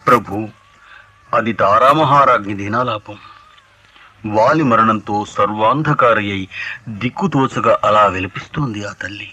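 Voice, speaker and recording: A man's voice: fairly low pitch, a little slow, long pauses, bright, forceful tone, slightly rough, heavily acted. An actor playing a devoted, deferential warrior attendant of a god-king in a 1980s radio mythological play. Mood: respectful, grave. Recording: dull old radio recording.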